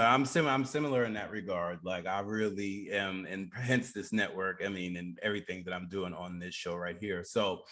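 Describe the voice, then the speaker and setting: A man's voice: on the low side, a bit bright. A man hosting a creative business radio show, asking questions in a conversational interview style.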